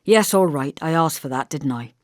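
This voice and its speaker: A woman's voice: deep voice, lively intonation, moderate pace, dry recording, clean studio sound. A woman voicing a blunt, bossy young working-class man in an audiobook, brusque and insistent, with colloquial turns of phrase. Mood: urgent. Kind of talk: audiobook character dialogue.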